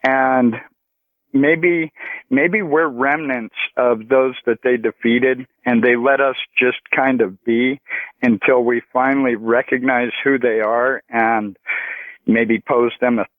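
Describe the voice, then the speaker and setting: Guy calling late radio, talks real slow and halting, thin dry phone sound. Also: mid-range voice, steady tone, dry recording. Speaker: radio show caller. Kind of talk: slow, speculative musing. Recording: narrow, tinny phone line.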